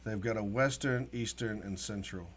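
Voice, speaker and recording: A man's voice: on the low side, normal speed, a little hiss or hum. A man co-hosting a sports show, giving updates in a casual, conversational way.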